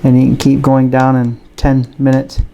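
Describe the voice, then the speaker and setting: A man's voice: medium pitch, completely flat delivery, moderate pace, creaky, mellow, slight background noise. A man giving a casual product demonstration, explaining things plainly and without hurry. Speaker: gadget reviewer.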